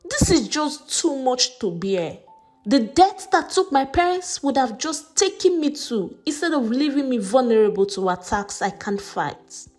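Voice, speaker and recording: Frightened orphan teen for a folktale woman performer: high, pleading, grieving, slowly delivered. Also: very animated, a little mellow, faint music behind. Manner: pleading, sorrowful. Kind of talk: dramatized folktale storytelling.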